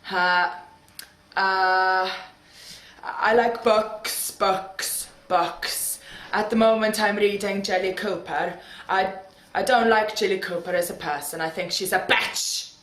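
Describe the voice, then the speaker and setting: A woman's voice: medium pitch, fairly even intonation, fairly bright tone. A woman voicing a chatty middle-aged Welsh woman in a put-on Welsh accent who rambles with many fillers. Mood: rambling. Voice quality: slow, halting, rambling with fillers. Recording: echoey room.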